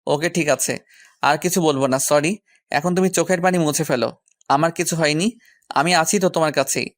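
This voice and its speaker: Audio story lead: male actor as a gentle, caring young boyfriend, soothing, dry recording. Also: fairly high pitch, moderate pace, no echo, natural voice. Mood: tender, reassuring. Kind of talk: audio story character acting.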